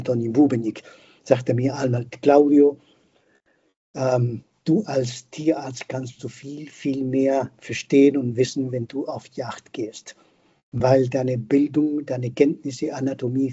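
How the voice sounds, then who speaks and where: An older man with a foreign, non-native accent talks reflectively in an interview. His voice is very mellow and somewhat husky, and he halts and repeats words as he looks for the phrase. The recording is dull, like a limited call. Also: mid-range voice. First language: not German; a non-native speaker of German. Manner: halting, repeats words while searching for phrasing. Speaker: podcast guest, veterinarian and hunter.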